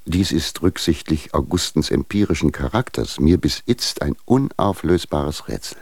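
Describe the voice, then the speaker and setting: Stern patriarch, extremely deep, gravelly, formal, reproachful, radio drama. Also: male voice, animated, moderate pace, a little mellow, no echo, full character voice. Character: stern, formal patriarch admonishing a younger husband. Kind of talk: German radio drama, literary letter reading.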